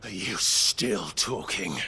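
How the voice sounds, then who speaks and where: An actor playing a defiant, embattled hero in a dramatic video game. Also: male voice, low voice, slow pace, pushed and bright, a little hiss or hum, heavily acted. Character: defiant, embattled heroic man.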